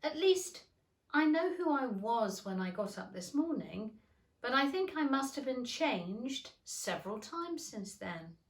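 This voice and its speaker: A woman's voice: fairly high pitch, big pitch swings, unhurried, far from the mic, pitched above the performer's own voice. A woman voicing a young storybook girl who is polite, earnest and a little shy and flustered. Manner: earnest, polite, hesitant, lilting. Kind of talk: children's storybook character voice. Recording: roomy, echoing indoor sound.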